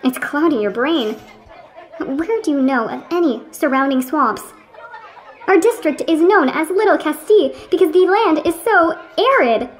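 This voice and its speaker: A pompous, irritable man acted by a woman in a radio play. The voice sits high and rises and falls sharply. The delivery is condescending and indignant, scolding a friend with fussy precision. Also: expressive, normal speed, a faint crowd. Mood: exasperated.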